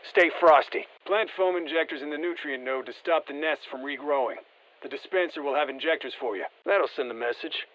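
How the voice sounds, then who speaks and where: Actor as a terse military squad operator, slightly muffled. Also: male voice, fairly high pitch, fairly quick, fairly bright tone, close to an everyday voice. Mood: focused, encouraging. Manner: terse, matter-of-fact military delivery. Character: seasoned male special-forces operator briefing squad, steady and encouraging. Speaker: military operator giving mission guidance. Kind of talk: scripted video game voice lines.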